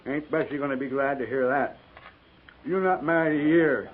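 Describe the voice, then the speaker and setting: An actor playing a simple, food-loving cavalry trooper in a 1950s radio western, dry and folksy, with a rural American accent. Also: male voice, mid-range voice, normal speed, close to an everyday voice. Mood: dry, grumbling. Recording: tinny, faintly noisy 1950s radio recording.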